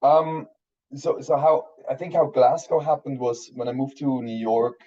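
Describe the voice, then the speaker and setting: Swiss man, thirties, German first language, warm mellow tone, slightly muffled audio, rambles with fillers. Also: mid-range voice, steady tone, a little slow. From Switzerland. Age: 30s. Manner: rambling, many restarts and fillers. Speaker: guest filmmaker. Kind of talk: casual interview chat.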